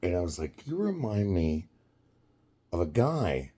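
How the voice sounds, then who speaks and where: A man in his fifties tells a story in an unhurried, very deep voice. He rambles and stops to restart sentences, and laughter keeps slipping into his talk. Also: sing-song, warm tone, slightly rough. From United States. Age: 50s. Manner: rambling, halting, quick to laugh. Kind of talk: casual storytelling.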